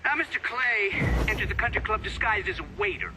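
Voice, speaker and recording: A man's voice: high-pitched, a little fast, bright, forceful tone. An actor playing a formal, skeptical official questioning a witness in a video game, probing as he goes.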